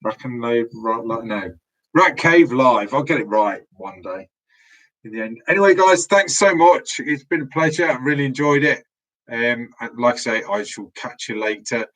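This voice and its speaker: Brief: man, live stream host, voice going hoarse, chatty and rambling, laptop mic, mid-range pitch. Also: animated, moderate pace, a bit bright, rough recording. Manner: rambling, restarts often, chatty. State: losing his voice. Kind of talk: casual live stream sign-off chat.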